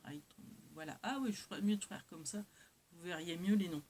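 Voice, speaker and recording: A woman's voice: lowish voice, fairly quick, darkish tone. Woman rambling casually over hissy background noise. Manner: hesitant, rambling, self-correcting. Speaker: craft vlogger. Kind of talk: casual haul chat to viewers, showing items. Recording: clear background noise with hiss.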